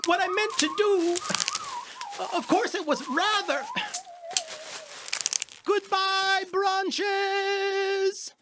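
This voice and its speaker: A woman voicing a naive, honey-loving toy bear in a children's audio drama, musing in a slow, childlike way. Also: extremely high pitch, fairly even intonation, noisy background, exaggerated voice. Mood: flustered.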